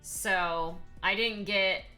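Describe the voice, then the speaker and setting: A hoarse woman streaming herself painting miniatures, chatting casually with many ums and reading chat aloud. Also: medium pitch, steady tone, very slow, pushed and bright, faint music behind. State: hoarse, voice partly lost.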